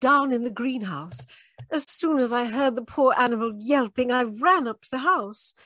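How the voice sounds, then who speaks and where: Colonel's niece, played by a woman: genteel, concerned, high animated voice, close and dry, radio drama. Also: normal speed, dry recording. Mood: polite, concerned. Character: polite, worried young English lady, colonel's niece.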